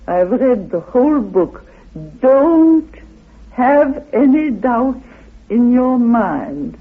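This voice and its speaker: High-pitched, husky older man speaking slowly. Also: very animated, phone-quality sound. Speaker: interviewed author and researcher. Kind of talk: reflective recorded interview. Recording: band-limited old radio interview.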